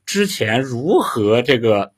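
A man giving conversational analysis as a panel commentator, explaining haltingly and repeating short affirmations. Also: medium pitch, big pitch swings, husky.

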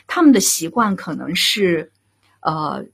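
Female director, live discussion. Heavily husky, lively intonation, pauses to find her words. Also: lowish voice. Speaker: radio drama director.

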